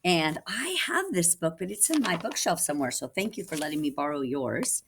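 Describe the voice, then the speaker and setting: A woman reading a picture book aloud for children in an animated way, with asides to listeners. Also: fairly low pitch, fairly quick, a little hiss or hum. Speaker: children's storybook reader, teacher. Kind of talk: expressive read-aloud for children.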